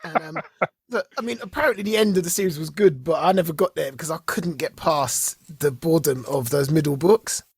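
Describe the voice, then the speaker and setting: A man co-hosting a podcast in casual chat, excitable, laughing often and tripping over repeated words. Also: on the high side, brisk, husky, no echo, studio quality. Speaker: podcast co-host.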